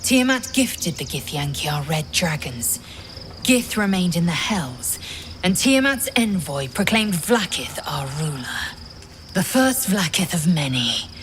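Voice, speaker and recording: Game role: fervent warrior woman, lowish voice, solemn and declamatory, over clear insect noise. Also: sing-song, unhurried, fairly bright tone. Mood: solemn, intense. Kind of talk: dramatic game dialogue.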